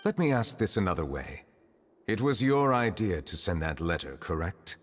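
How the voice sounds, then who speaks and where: An actor playing a dignified, calm male judge who speaks formally and measuredly in a video game. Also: low voice, normal speed, slight background noise. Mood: calm, stern. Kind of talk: video game dialogue acting.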